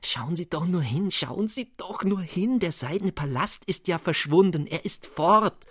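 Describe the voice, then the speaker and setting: A man voicing an excitable, adoring young country maiden with jubilant exclamations in a fairy-tale reading. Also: high-pitched, a little slow, pitched above the performer's own voice, full character voice.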